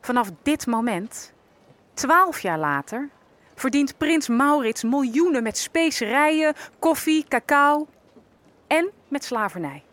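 An actress playing a wry, knowing enslaved woman who survived, telling her life story in a measured way for an audio drama. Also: high-pitched, animated, fairly bright tone, exaggerated voice. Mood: knowing, ominous. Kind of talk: dramatised first-person narration in an audio drama.

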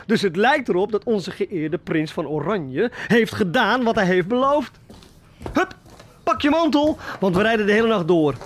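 An actor playing a domineering, gloating slave-ship captain in a radio drama, giving commands. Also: male voice, sits high. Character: triumphant, domineering slave-trading ship's captain.

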